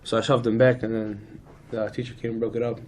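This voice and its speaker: Flat-toned aggrieved teenage guy acted by a student. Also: on the low side, fairly quick, natural voice. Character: aggrieved male high school student defending his friend. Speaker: student actor in a peer mediation dramatization. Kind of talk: acted school role-play dialogue. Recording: noisy room ambience.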